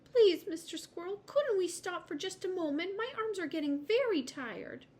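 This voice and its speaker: A woman's voice: extremely high pitch, animated, normal speed, darkish tone, pitched above the performer's own voice. A woman voicing a small, tired hazelnut girl in a children's storybook, pleading politely. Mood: weary, pleading.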